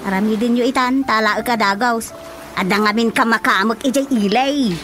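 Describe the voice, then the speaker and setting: A woman's voice: on the high side, moderate pace, loud music behind the voice, lightly acted. A woman playing a brash, wheedling granddaughter in an Ilocano radio comedy drama, with an Ilocano accent. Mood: wheedling, eager. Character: brash, wheedling granddaughter petitioning the village chairman.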